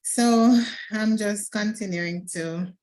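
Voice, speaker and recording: A woman from Florida speaks on a call with a slow, unhurried pace. She pauses often and fills gaps with small hesitations. Her pitch sits in an ordinary middle range. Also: over a noisy crowd, rough recording. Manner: slow, halting, with frequent fillers. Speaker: caller. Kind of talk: casual testimonial on a call. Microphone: video call.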